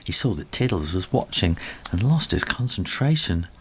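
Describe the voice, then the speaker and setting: A man reading a children's story aloud as its author, in an animated storybook narration style with a husky voice. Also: deep voice, moderate pace, slight background noise.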